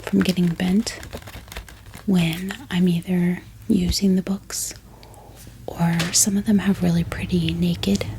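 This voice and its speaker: Slow whispering woman, soft ASMR delivery. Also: lowish voice, gravelly, rough recording. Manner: gentle, unhurried, calming. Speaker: ASMR book vlogger. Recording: steady background hiss, heater hum, clicks. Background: book tapping, clicking, heater running.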